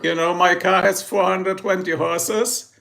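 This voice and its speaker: Male streamer, German accent, high-pitched voice, jokey and rambling, laughs a lot. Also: flat delivery, a little slow, vocal fry, fairly bright tone. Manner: joking, rambling, laughs often. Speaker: livestream host. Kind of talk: casual livestream chat with viewers.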